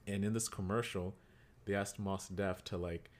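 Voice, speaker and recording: A man's voice: low voice, moderate pace. A man co-hosting a podcast, talking casually and animatedly, with lots of "like" and repeated words. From Kenyan heritage. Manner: animated, repeats himself, heavy use of "like". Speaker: podcast co-host.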